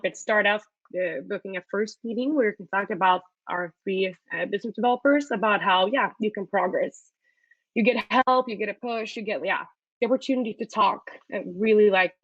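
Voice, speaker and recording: A woman's voice: mid-range voice, dry recording, muffled recording. A woman hosting a webinar, presenting a student startup hub in an upbeat way with frequent fillers, heard over a video call. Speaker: webinar host.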